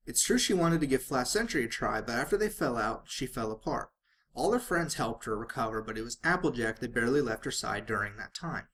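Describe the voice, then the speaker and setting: A man's voice: mid-range voice, expressive, a little fast, a bit bright. A man reading fan fiction aloud as a dramatic narrator, sometimes stumbling and rereading phrases.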